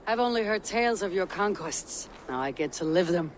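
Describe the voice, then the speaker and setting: A woman's voice: on the low side, animated, fairly quick, a little hiss or hum, natural voice. Resolute, poetic Viking warrior woman voiced by an actress. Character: steadfast, poetic Viking warrior, eager for battle. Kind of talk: video game cutscene acting.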